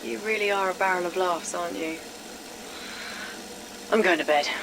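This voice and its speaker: Actress as a weary sardonic female scientist, lowish voice, audio drama, faint noise behind. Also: normal speed, lightly acted. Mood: exasperated.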